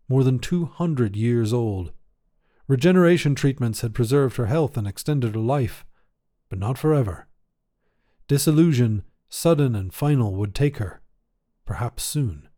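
A man narrating a scripted short story as a reader, in a measured, literary delivery. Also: medium pitch, dark tone.

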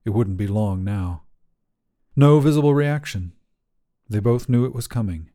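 Mellow male story narrator. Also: fairly low pitch, lively intonation, unhurried. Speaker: podcast narrator. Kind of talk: scripted fiction narration.

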